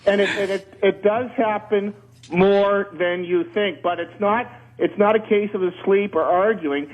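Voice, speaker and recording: Husky, high-pitched man on a muffled radio call. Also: fairly even intonation, measured pace. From Canada. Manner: anecdotal, jokey, plainspoken. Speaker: radio show caller, former air traffic controller. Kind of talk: explaining aviation on a radio call-in. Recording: muffled band-limited radio phone-in call.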